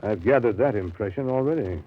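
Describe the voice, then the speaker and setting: An actor playing a brooding seafarer who recounts a jungle voyage in a measured, literary, dramatic 1930s radio style. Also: male voice, fairly low pitch, lively intonation, slightly rough. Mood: guarded, curious. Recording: scratchy, narrow-band 1930s radio broadcast.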